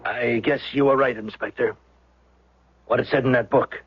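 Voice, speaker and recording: A man's voice: medium pitch, fairly even intonation, moderate pace, faint music behind, lightly acted. An American man acting in a 1970s radio drama as a dogged, grave rescuer. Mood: somber, reflective. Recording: dull, band-limited old radio broadcast.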